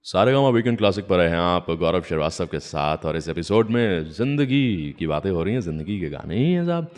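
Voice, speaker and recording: A man's voice: low-pitched, very animated, fairly quick, darkish tone. A man hosting a radio show, telling stories between songs in a conversational way and acting out the dialogue he quotes. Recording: long reverb, faint music behind.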